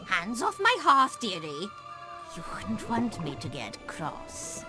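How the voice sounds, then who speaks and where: A sly old fairy-tale witch, with hugely swooping pitch and very slow, sugary menace. She sounds like a storybook villain in a video game, savoring every threat. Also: wildly swooping pitch, over music. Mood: sweetly menacing. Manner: cloying, menacing sweetness. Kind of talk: video game character acting.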